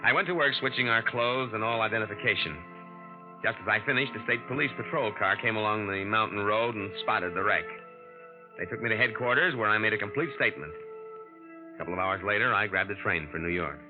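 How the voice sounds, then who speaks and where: A man's voice: on the low side, fairly quick, natural voice. An actor playing a tough, streetwise young man who narrates his own scheme in a 1940s radio drama. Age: young adult. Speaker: drifter and narrator. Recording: muffled vintage radio recording with music.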